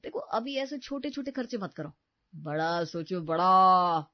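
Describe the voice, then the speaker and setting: A man's voice: high voice, animated, a little slow, no echo, highs cut off. An actor playing a stingy, lecturing young father in an acted family story, brushing off every request. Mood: dismissive. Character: stingy, dismissive young father obsessed with his new house. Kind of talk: acted Hindi audio story dialogue.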